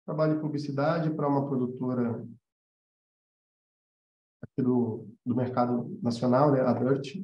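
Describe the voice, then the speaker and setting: A man's voice: medium pitch, fairly even intonation, measured pace, long pauses. A man with a Brazilian Portuguese accent giving an informal live-stream lesson as an animation teacher, explaining emphatically and repeating points for emphasis. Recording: roomy echo, slightly dull sound.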